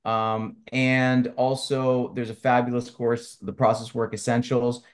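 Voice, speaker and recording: A man's voice: medium pitch, little pitch movement, unhurried. A man hosting a webinar, speaking conversationally as he makes announcements to the participants. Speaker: webinar host. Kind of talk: conversational announcements, closing a session.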